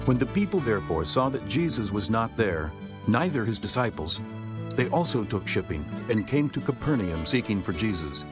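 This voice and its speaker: A man narrating scripture from a script in measured, formal storytelling. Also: fairly low pitch, big pitch swings, over music.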